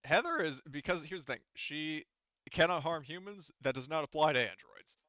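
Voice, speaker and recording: A man acting as game master, explaining rules and turns in a halting, explanatory way over an online session. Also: a little high, sing-song, lo-fi sound, crowd noise.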